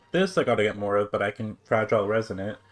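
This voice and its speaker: A man livestreaming a game with casual, slangy, chatty commentary. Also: lowish voice.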